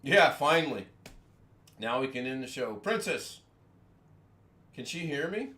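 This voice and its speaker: A man's voice: mid-range voice, very animated, unhurried, sharp tone. A man hosting a casual home livestream, rambling from topic to topic, making fun of himself and chuckling often. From United States. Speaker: livestream host. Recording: echoey room with faint ambience.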